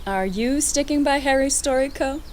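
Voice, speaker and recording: A woman's voice: high-pitched, moderate pace, a little mellow, slight background noise, close to an everyday voice. An actress playing an affectionate female commander, wry, gracious and playful, in a science fiction audio drama. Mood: fond, teasing. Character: affectionate, teasing female commander from another planet.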